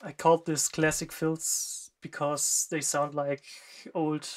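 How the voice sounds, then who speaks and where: German-accented producer walking through a project, slow and halting, lots of um and yeah, laid-back and self-deprecating. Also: medium pitch, little pitch movement. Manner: hesitant, halting, many fillers and short pauses. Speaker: music producer. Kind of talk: screen-recorded production walkthrough.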